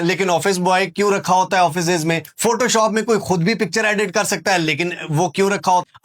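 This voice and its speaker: A man with a high voice and a Pakistani accent walks viewers through an online how-to. His delivery is informal and emphatic, and he keeps repeating points and posing rhetorical questions to check they follow. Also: fairly bright tone. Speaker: online skills tutor and video host. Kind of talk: informal tutorial narration, walking viewers through websites.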